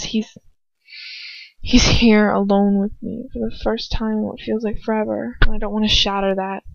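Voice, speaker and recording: A woman's voice: medium pitch, gravelly, dry recording, muffled recording. A woman narrating a first-person story as an amateur audiobook reader, now and then stumbling and retaking a line.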